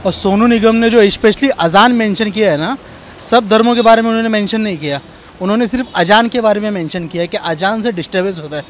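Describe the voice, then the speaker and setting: A man's voice: high voice, fast, a little hiss or hum. A man with an Indian accent arguing his opinion emphatically and repetitively in a street interview. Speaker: member of the public giving opinion. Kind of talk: argumentative vox pop opinion.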